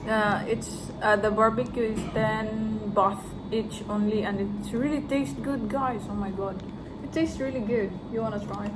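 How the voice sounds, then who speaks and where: A woman's voice: mid-range voice, steady tone, moderate pace. A Filipino woman with a Filipino accent, chatting casually to her viewers in a travel vlog, laughing often. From Philippines. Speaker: vlogger. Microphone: phone camera. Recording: street noise behind.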